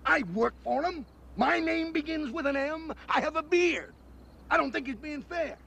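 Exasperated, sarcastic, high-voiced American man. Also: moderate pace, vocal fry, pushed and bright, a little hiss or hum, heavily acted. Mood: indignant, sarcastic. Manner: sarcastic, griping, talkative. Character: exasperated sarcastic human partner of an angel. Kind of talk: television drama acting.

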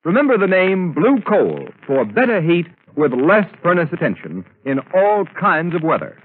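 A man's voice: high voice, sing-song, normal speed, slightly rough. A man announcing in a formal, emphatic, scripted 1930s radio style. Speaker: announcer and narrator. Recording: tinny 1939 radio broadcast with music.

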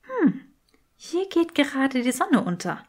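A woman's voice: high voice, very animated, takes it slowly, voice pushed up, full character voice. A woman voicing a clever, earnest young witch with teasing wit in an audiobook, matter-of-fact in manner. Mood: thoughtful.

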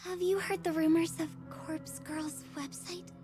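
A woman voicing a shy young woman in a visual novel, with a soft, hesitant, secretive manner. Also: very high voice, steady tone, a little slow, voice pushed up. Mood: secretive, ominous. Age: young adult. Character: shy bespectacled young woman, timid but ominously insistent. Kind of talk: visual novel character reading. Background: background music.

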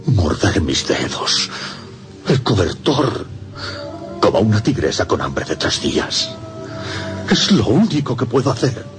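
An actor with a Castilian Spanish accent playing a tormented, lovesick young priest, brooding and impassioned in a radio drama monologue. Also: male voice, fairly low pitch, wildly swooping pitch, unhurried, long pauses. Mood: anguished, bitter. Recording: music under the voice. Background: music.

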